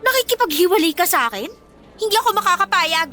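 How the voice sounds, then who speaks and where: A high, piercing, wildly animated teenage mean girl in a Filipino radio drama. She is possessive and spiteful, taunting and picking fights with a sharp tongue, over a music bed. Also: quick pace, full character voice. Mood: defiant. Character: jealous, bratty teenage girlfriend, possessive and spiteful.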